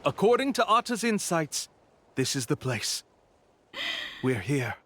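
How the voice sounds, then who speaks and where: An actor playing a brazen, smooth-talking con-artist tour guide in a video game, theatrical, glib and smug. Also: male voice, a little high, sing-song, measured pace, pushed and bright, exaggerated voice. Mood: glib salesmanship.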